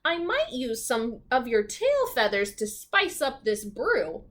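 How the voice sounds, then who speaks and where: A woman's voice: a little high, huge pitch swings, slow, a bit bright, full character voice. Woman acting a sneering, theatrical old witch. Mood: scheming. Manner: scornful, theatrical.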